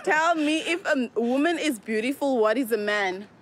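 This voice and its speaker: A woman interviewing people on the street, upbeat and quick with her questions and thanks. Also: high-pitched, lively intonation. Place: outdoors on a street. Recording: street recording with faint noise.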